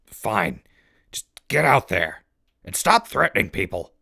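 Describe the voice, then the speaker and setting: A man's voice: on the low side, sing-song, measured pace, vocal fry, bright, forceful tone, exaggerated voice. A man playing a wry, cheeky wasteland radio host with folksy, mock-gracious announcer patter. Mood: exasperated. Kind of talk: scripted in-character radio broadcast.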